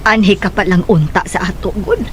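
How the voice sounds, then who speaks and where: A woman's voice: mid-range voice, normal speed, slight background noise, close to an everyday voice. An actress playing a worried mother who lets her grown son leave home, in a radio drama.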